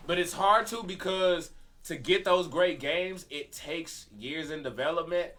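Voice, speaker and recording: Bright-voiced man chatting casually. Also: a little high, measured pace. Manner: slangy, profane. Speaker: live stream podcast co-host. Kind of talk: casual chat with friends about video games.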